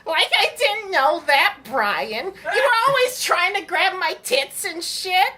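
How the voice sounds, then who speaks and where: Bawdy cartoon wife, super high voice, really slow drawl, crude and flirty. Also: female voice, lively intonation, sharp tone, a little hiss or hum, exaggerated voice. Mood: lusty. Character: brash, bawdy middle-aged cartoon housewife. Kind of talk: live comedic parody reading.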